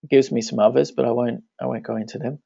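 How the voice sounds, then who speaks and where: A man, a native Danish speaker, walks through a website plugin in a relaxed screencast tutorial, thinking aloud as he clicks. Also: medium pitch, fast, gravelly, breathy edge, dry recording. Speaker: web tutorial instructor. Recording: dry, dull lo-fi screen recording.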